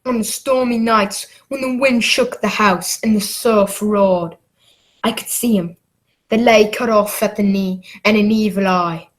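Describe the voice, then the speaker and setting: A woman narrating a radio drama as an earnest grown man recalling his boyhood adventure, in measured, dramatic storytelling. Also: mid-range voice, steady tone, lightly acted. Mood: haunted.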